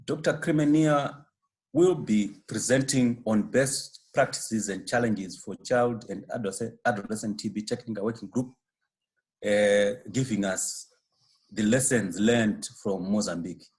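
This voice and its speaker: A man's voice: fairly low pitch, unhurried, darkish tone, low-quality recording. A man chairing a webinar, politely introducing speakers in a formal manner.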